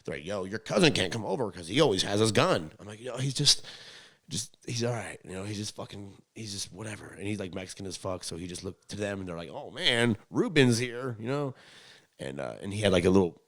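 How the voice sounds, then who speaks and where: Low-voiced young man, slangy and profane. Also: brisk. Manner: slangy, profane, animated when acting out quotes. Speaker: podcast host. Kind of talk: casual rambling storytelling chat.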